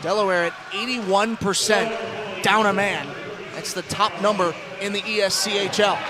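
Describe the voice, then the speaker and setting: A man's voice: high-pitched, fairly even intonation, moderate pace, crowd noise. An American man calling live ice hockey play-by-play as a radio announcer. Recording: clear rink noise behind commentary. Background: ice hockey game noise.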